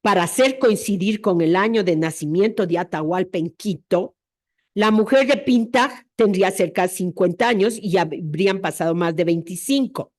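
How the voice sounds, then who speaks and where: A woman's voice: medium pitch, normal speed, darkish tone. A woman presenting an academic history lecture, explaining her reasoning step by step in a didactic way.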